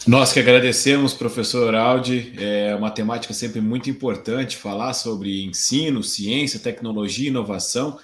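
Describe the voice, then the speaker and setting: A man's voice: medium pitch, normal speed, hardly any pauses, fairly bright tone. A man hosting an online event, thanking the speaker in a formal, courteous way. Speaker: event host, professor. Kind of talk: thanking speaker on video call. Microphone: webcam on video call. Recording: echoey room on video call.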